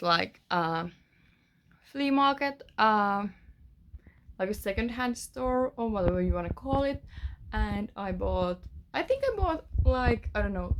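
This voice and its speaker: A young woman speaks English with a Finnish accent in a loose, chatty vlog update. Her voice sits at an ordinary middle pitch. She rambles and fills pauses with "uh" and "like", often restarting her sentences. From Finland. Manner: rambling, many fillers and restarts. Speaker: author vlogger. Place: bedroom.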